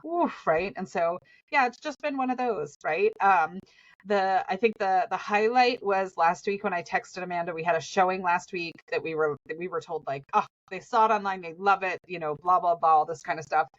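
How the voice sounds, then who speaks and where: A woman's voice: fairly low pitch, moderate pace, muffled recording. A sniffly woman in her forties with a Southern US accent, a podcast co-host chatting casually and laughing often as she tells stories. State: sniffly from allergies.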